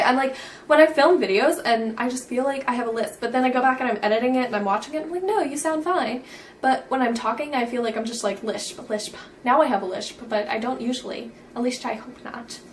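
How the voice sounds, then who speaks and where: Bubbly teen girl, higher voice. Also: recorded from a distance, slight background noise. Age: teens. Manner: bubbly, says "like" constantly. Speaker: beauty vlogger. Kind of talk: casual chatty haul vlog.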